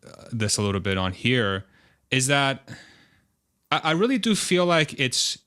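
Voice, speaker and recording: A man in his thirties with a clear, smooth voice of medium pitch. He speaks as a podcast guest, casual, earnest and enthusiastic. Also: a bit bright. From Spain, then United States from age twelve. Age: 30s. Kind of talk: casual interview chat.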